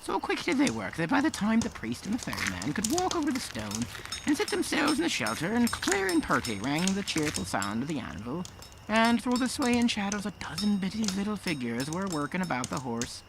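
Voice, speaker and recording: A man playing a folksy fireside storyteller with an Irish accent and a creaky voice, narrating a tale. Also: high-pitched, huge pitch swings, fairly quick, background noise, full character voice. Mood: storytelling. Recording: music underneath.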